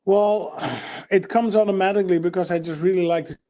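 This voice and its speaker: A man's voice: sits high, steady tone, fairly quick, mellow, lo-fi sound. A man with a Dutch accent, a fighter answering press questions in short, plain answers full of fillers. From Netherlands.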